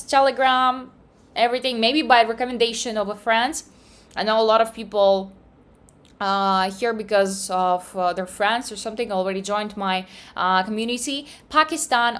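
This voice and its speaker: A woman hosting a casual livestream, reading out viewer comments in cheerful, slightly non-native English. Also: a little high. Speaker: trading livestream host.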